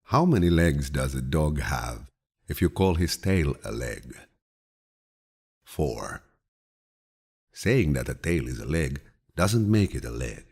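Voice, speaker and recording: A man reading quotations as an audiobook narrator in a deep, gravelly, husky voice, calm, soothing and grandfatherly in his measured storytelling.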